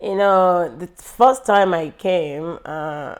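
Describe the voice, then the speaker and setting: A middle-aged woman from Nigeria with a Nigerian accent, speaking slowly and thoughtfully in an interview about her life, with frequent pauses and fillers. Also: lowish voice. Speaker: interview guest. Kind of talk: reflective personal interview.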